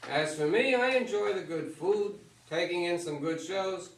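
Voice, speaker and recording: An actor in a staged play reading, playing an avuncular, plainspoken retired baseball legend who gives folksy advice. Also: male voice, high-pitched, a little slow. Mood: reflective. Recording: roomy stage, far from microphone.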